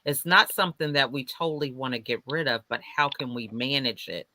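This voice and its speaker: Bright-voiced man hosting a panel. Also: mid-range voice, brisk, no room sound. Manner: encouraging, conversational, relates anecdotes. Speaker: panel moderator. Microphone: video call.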